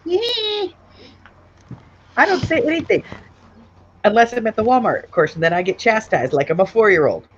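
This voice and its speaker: A woman's voice: on the high side, sing-song, moderate pace, pauses often, muffled recording, a little hiss or hum. A woman in her forties co-hosting a casual livestream. She sounds congested and stuffed up, and she teases in a playful way. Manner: teasing and playful. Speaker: co-host of a live chat show.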